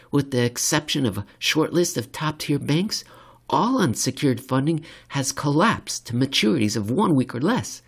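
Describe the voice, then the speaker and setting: A formal male central banker, acted out by a man reading an essay, speaks in a raised pitch. He delivers his report to the meeting deliberately, as in a dramatised reading.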